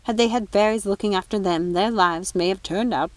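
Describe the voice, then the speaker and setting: Storybook fairy nanny read by a woman: older, earnest, scolding yet pleading, mid-pitched, dry sound. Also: a little fast, no room sound, close to an everyday voice. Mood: reproachful.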